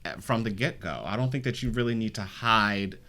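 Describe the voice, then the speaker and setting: Brief: male co-host, lowish bright voice, thoughtful and hesitant. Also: a little fast. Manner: reflective, many fillers and restarts. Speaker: podcast co-host. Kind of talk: casual chat.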